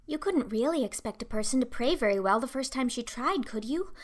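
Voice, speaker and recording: A woman's voice: a little high, expressive, fairly quick, pitched above the performer's own voice. A woman voicing an imploring, talkative, dreamy orphan girl in an audiobook, with a gushing, earnest and dramatic delivery. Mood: earnest, chatty. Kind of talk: audiobook character acting.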